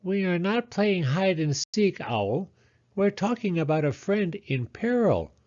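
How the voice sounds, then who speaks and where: A friendly female mole from a children's storybook, put on by a male reader. She chatters in a raised, lively voice, fussy and sociable, with a slightly muffled sound. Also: high voice, unhurried, voice pushed up. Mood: earnest.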